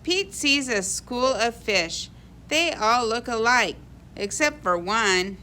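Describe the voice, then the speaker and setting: A woman reading a children's picture book aloud in an expressive, animated way for young kids. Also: a little high, slow pace, slight background noise. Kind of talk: expressive read-aloud storybook narration.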